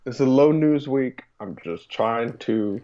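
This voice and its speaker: A man's voice: medium pitch, slow. A man co-hosting a podcast in casual, rambling banter. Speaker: podcast co-host. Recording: dull lo-fi mic.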